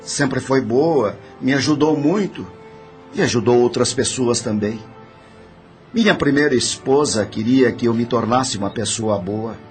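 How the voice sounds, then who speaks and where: A man acts out a dead Brazilian schemer in an audio drama, with a medium-pitched voice. He is greedy and calculating, confessing matter-of-factly. Quiet music plays underneath. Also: natural voice.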